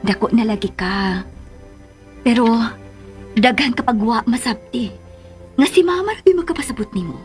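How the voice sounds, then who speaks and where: A woman's voice: a little high, animated, normal speed, long pauses, darkish tone, faint music behind, close to an everyday voice. A woman with a Cebuano Filipino accent playing an indulgent, coaxing mother of a grown daughter in a radio drama. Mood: soothing, reasoning. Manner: coaxing, mixing English into Cebuano.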